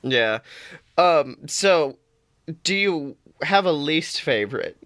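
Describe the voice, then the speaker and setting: A young man co-hosting a podcast, chatting casually and playfully with lively, mock-outraged reactions. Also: on the high side, slow, no echo. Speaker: podcast co-host. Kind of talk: casual chat.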